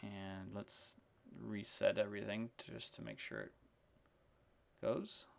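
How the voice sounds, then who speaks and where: Male tutorial presenter, lowish pitch with heavy vocal fry, unscripted and halting, walking through each step of a software demo. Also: sing-song. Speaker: software demonstrator. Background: keyboard typing and mouse clicks.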